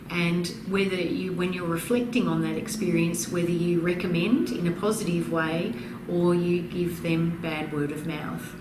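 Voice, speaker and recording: A woman consultant in an interview, explaining in a measured, emphatic way and listing her points one by one. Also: on the low side. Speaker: practice consultant and author being interviewed. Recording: roomy, distant mic, noisy background.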